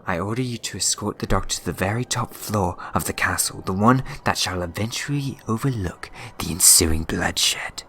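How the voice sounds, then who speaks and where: An actor playing a sly time-travelling schemer in a sci-fi fan audio drama, teasing and mock-polite. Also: male voice, deep voice, normal speed, hardly any pauses, slight background noise. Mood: petty, commanding. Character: sly, smug, meddling male alien time-traveller schemer. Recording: loud music behind the voice. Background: dramatic music.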